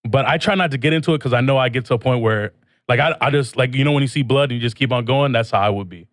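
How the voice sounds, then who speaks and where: A man tells stories in relaxed, joking podcast chat. He talks quickly and is recorded close and dry. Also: mid-range voice, dry recording. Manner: laid-back storyteller, joking. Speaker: podcast co-host.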